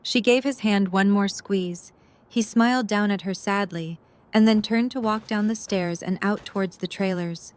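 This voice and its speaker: A woman's voice: mid-range voice, normal speed. Woman narrating a scripted story.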